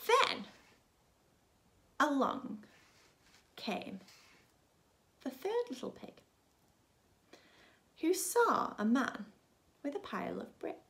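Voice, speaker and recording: A woman's voice: high-pitched, very animated, extremely slow, gravelly, long pauses, fairly bright tone. A woman in her thirties, a primary school teacher, reading expressively to young children. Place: small furnished room at home, indoors.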